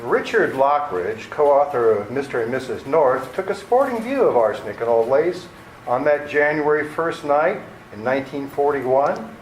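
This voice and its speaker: A man's voice: medium pitch, animated, moderate pace, faint music behind. A man delivering scripted narration as the narrator of a radio theater show. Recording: echoey room with faint noise.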